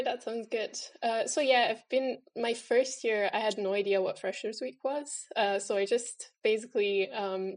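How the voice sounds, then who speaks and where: A young woman from Italy speaks as a student guest on a podcast. She talks in a relaxed, easygoing way with frequent fillers, and her voice sits in a middle range. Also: medium pitch. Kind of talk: casual interview chat.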